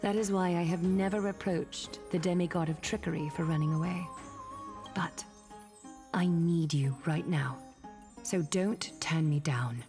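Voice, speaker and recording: An actress playing a noble, stern demigod woman in a video game, speaking in a formal, measured, commanding way. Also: on the low side, a little mellow, soft background music. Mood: stern, solemn. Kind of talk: video game character acting.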